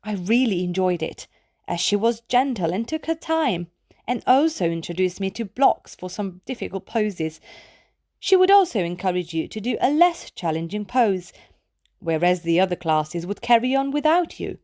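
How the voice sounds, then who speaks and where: A woman reading children's poetry aloud from a script in a measured, deliberate, clearly enunciated way. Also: medium pitch, very animated, darkish tone, no room sound, clean studio sound.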